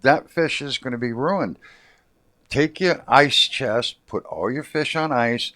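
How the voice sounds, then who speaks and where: A man hosts a fishing show in a loose, rambling way, full of jokes and everyday slang. His voice sits at an ordinary middle pitch. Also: measured pace, bright, forceful tone. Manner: joking, rambling, colloquial. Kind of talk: casual chat.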